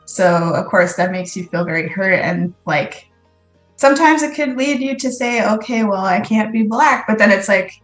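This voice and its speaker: A woman speaking as a podcast guest, thoughtful and measured, pausing often with ums. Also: medium pitch, animated, roomy sound, soft background music, low-quality recording. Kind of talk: casual interview.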